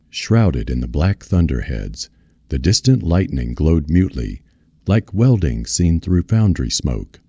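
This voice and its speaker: A man reading literary fiction aloud as a narrator, in a measured, solemn manner. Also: extremely low pitch, mellow, breathy edge, dry recording, clean studio sound. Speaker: reader of a novel.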